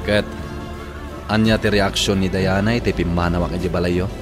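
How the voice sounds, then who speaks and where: An actor playing a cool, scheming male boss, with an Ilocano accent and a deliberate, insinuating manner in a radio drama. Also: deep voice, fairly even intonation, music in the background, natural voice. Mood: probing.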